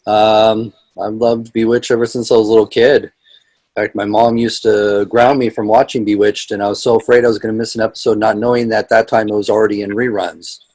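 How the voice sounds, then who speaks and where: A man from Tacoma speaks in a flat, monotone way at a middle pitch. He keeps his manner low-key as he introduces himself. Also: fairly quick. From Tacoma, Washington, USA. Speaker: fan guest. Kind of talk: casual self-introduction.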